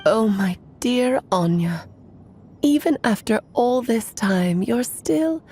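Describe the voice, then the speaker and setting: An actress playing a caring, elegant woman magician in a video game, with a soft, breathy voice and a tender, theatrical delivery. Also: fairly high pitch, lively intonation, slow pace, a little hiss or hum. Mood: fond concern. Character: caring, elegant female magician, fond old friend. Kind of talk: dramatic video game dialogue.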